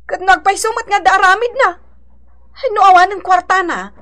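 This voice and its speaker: A woman's voice: very high-pitched, normal speed, pushed and bright. A woman playing a nosy, teasing gossip companion with an Ilocano accent, exclaiming her way through a radio drama. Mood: teasing, gossipy.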